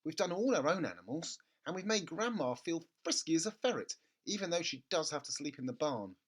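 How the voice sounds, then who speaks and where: A man's voice: fairly high pitch, lively intonation, a little fast, voice pushed up. A man voicing an earnest, hesitant little boy in a storybook reading, with a tentative, pleading manner. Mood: puzzled, doubtful.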